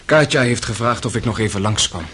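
An actor playing an earnest, polite, devout young son and loyal brother in a radio drama. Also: male voice, lowish voice, brisk, fairly bright tone, slight background noise, close to an everyday voice. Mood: gentle, dutiful.

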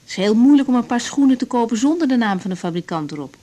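An actress playing a polite young woman doctor, tactful and a little halting, in a naturalistic radio drama. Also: on the high side, lively intonation, warm tone. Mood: amused. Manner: hesitant, courteous, tactful.

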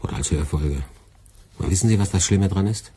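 An ironic, lecturing boss, very deep, dark, husky; radio play. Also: male voice, brisk. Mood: sardonic. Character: sardonic middle-aged male boss, lecturing. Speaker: department head.

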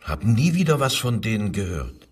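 A submissive, deep-voiced worker under interrogation. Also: male voice, sing-song, moderate pace, breathy edge, distant mic. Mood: cornered, hesitant. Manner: hesitant, deferential, defensive. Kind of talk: German crime radio drama acting.

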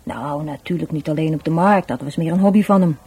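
Mellow, husky, guarded Dutch woman. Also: deep voice, a little fast. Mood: reserved, guarded. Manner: hesitant, repeats words, evasive. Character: shy, guarded, educated sister of a dead man. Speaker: actress in a radio drama. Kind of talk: radio drama dialogue.

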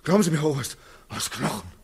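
A man's voice: a little high, very animated, fast, full character voice. An actor playing a tormented returning soldier in a 1940s radio drama, theatrical and obsessive as he recounts a nightmare. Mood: feverish, insistent. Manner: theatrical, obsessive, swinging from hushed murmurs to frenzied cries. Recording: muffled, husky 1940s radio recording.

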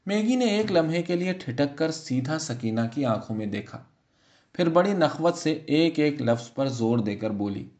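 A man reading a literary short story as an audiobook narrator, in a measured, expressive storytelling manner. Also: a little high.

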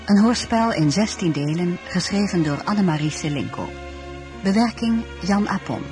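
A woman's voice: fairly low pitch, lively intonation, moderate pace, darkish tone, faint music behind. A woman formally announcing a radio play as its announcer.

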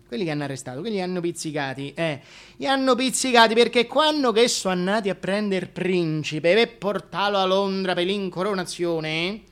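A man performs a working-class Roman busybody in a comic theatre monologue. The voice is bright and forceful, full of colloquial exclamations, indignantly standing up for the king like a neighbourhood gossip. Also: high voice. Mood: confiding, knowing.

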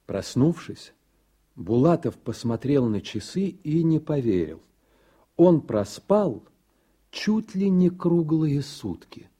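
A man's voice: fairly high pitch, big pitch swings, slow, warm tone, slightly rough. A man narrating a literary radio drama as a storyteller reading scripted prose. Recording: 1980s radio production, faint music.

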